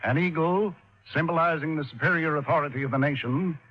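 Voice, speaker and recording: A man's voice: on the high side, a little fast. A man announcing and narrating from a script in a formal old-time radio style. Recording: dull old radio recording.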